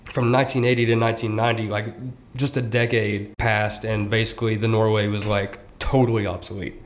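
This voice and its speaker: A man's voice: fairly low pitch, little pitch movement. Easygoing young man explaining casually. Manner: conversational, many fillers, quick asides. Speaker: podcast co-host.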